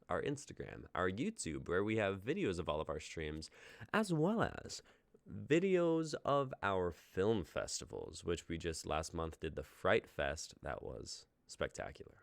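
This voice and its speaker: A man's voice: low-pitched, creaky, no echo. A young man hosting a live stream, chatty and casual as he plugs links and upcoming plans. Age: young adult. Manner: chatty, plugging links and schedule. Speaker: stream host.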